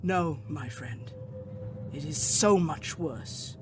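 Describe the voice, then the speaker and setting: Grave, high-voiced doctor and vampire hunter. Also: male voice, over music. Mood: grave, urgent. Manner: solemn, deliberate, formal. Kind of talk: radio drama acting.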